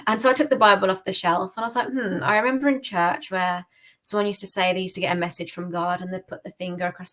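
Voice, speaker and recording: A young British woman telling her personal story as a podcast guest in a casual, chatty way. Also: mid-range voice. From United Kingdom. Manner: informal, chatty, frequent "like". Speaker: podcast guest giving testimony.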